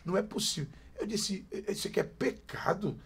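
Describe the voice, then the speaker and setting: A man's voice: high-pitched, a little fast, husky. Emphatic São pastor in his forties. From Brazil, Greater São Paulo. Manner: emphatic, preacherly repetition. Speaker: pastor, podcast guest. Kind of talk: casual podcast chat.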